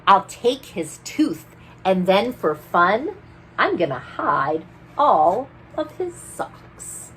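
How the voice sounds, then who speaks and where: A woman's voice: medium pitch, sing-song, drawn-out words, a bit bright, slight background noise, heavily acted, rough recording. A woman voicing a mischievous, boastful tooth fairy who tells her adventures in storybook rhyme. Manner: mischievous, theatrical. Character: sneaky, gleeful, boastful female tooth fairy narrating in rhyme. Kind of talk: rhyming storybook read-aloud.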